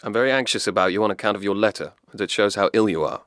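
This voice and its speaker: A man's voice: fairly low pitch, very fast, a bit bright, no echo, clean studio sound, close to an everyday voice. An actor playing an earnest, anxious and devoted young Englishman in a radio drama, with an English accent.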